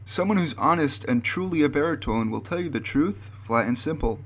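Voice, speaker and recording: A man's voice: on the high side, animated, a little fast. A man narrating a scripted explanatory video.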